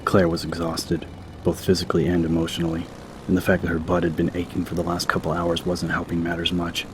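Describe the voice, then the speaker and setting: Very monotone, low-voiced male narrator. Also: a little fast, noisy background. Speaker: audiobook narrator. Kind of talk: scripted dramatic narration.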